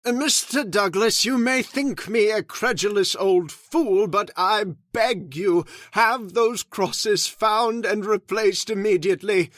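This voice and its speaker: An actor playing a credulous old man steeped in occult lore, speaking slowly and solemnly in old-time radio drama style. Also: high voice, fairly bright tone, no room sound, studio quality. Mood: grave. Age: older. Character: credulous old male scholar of the occult, grave.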